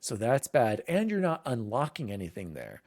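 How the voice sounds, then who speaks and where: A man's voice: medium pitch, wildly swooping pitch, normal speed, a little mellow, no room sound. A man hosting a gaming podcast, chatting casually and reading out news lists in an informal, conversational way. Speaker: podcast host.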